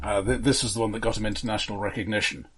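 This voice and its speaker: A man's voice: lowish voice, steady tone, very fast, a bit bright, breathy edge. A man co-hosting a podcast, casually discussing films in a wry, precise manner, often qualifying what he says. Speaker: podcast co-host.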